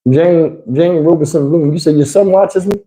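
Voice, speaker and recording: A man's voice: on the high side, normal speed, mellow, poor audio. A man talks to his livestream viewers. He rambles in loose slang and swears freely as he goes. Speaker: livestream host. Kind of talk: casual livestream chat with viewers.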